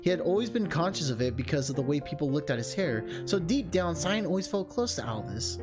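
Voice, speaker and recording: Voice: medium pitch, big pitch swings, fairly quick. A video game streamer with an informal way of talking, narrating and chatting to viewers. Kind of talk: reading a visual novel aloud, casual vlog chat. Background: music.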